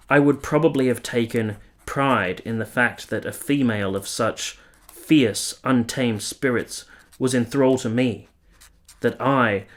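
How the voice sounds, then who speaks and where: An actor playing a brooding, conflicted nineteenth-century soldier, narrating a literary radio play in a formal, measured, eloquent manner. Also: male voice, mid-range voice, close to an everyday voice.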